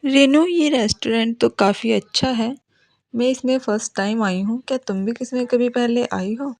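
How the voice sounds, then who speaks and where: A woman's voice: a little high, normal speed, darkish tone, natural voice. A woman voicing cartoon dialogue as a fretful mother and her headstrong young daughters. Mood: cheerful.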